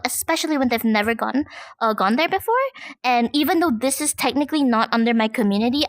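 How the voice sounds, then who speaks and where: High-voiced woman from Malaysia, close and dry. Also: gravelly, no room sound. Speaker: guest, content creator and VTuber. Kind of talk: casual interview chat.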